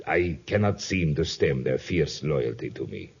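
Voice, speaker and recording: A man's voice: very deep voice, lively intonation, a little slow, vocal fry, breathy edge, full character voice. An actor playing a domineering, suspicious plantation owner and father in a 1950s radio drama, formal and self-justifying in manner. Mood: grave. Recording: dull vintage 1950s radio recording.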